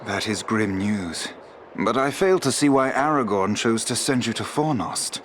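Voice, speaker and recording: Noble elf warrior lord. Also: male voice, on the low side, expressive, a little hiss or hum. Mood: grave, resolute. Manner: formal, measured, archaic phrasing.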